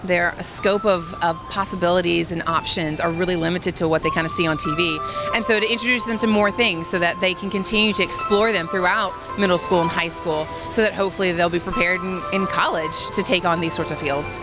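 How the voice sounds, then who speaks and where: A woman's voice: on the low side, fairly even intonation, fairly quick. Woman teacher, earnest interview voice, music bed behind. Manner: earnest, a few restarts. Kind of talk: on-camera interview. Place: school gym at an expo. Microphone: handheld mic held near.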